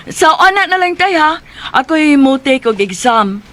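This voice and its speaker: An actress with a Cebuano accent playing a bright, studious twin daughter in a radio drama. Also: female voice, sits high, sing-song, a little slow, exaggerated voice. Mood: eager.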